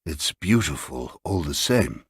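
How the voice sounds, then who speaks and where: A man voicing a detached, dreamy husband who speaks softly and tersely in an audiobook story. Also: a bass voice, big pitch swings, measured pace, breathy edge, no echo, studio quality, full character voice. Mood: dreamy, cryptic. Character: quiet, distracted, dreamy husband, detached and cryptic.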